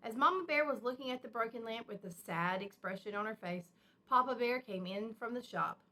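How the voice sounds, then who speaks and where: A woman with a bright, fairly high voice reads a picture book aloud to children. She is expressive and emphatic, explaining things simply like a teacher. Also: a little fast. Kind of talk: expressive storybook read-aloud with simple asides.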